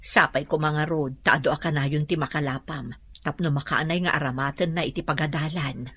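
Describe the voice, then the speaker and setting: Wife role: middle-aged, raspy, caring and hopeful, radio drama. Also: female voice, sits low, fairly even intonation, moderate pace, a bit bright. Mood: hopeful, concerned.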